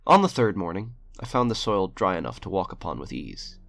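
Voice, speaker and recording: A man reading a horror short story aloud in a slow, grave, brooding way. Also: deep voice, sing-song, creaky, a bit bright, dry recording. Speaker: narrator.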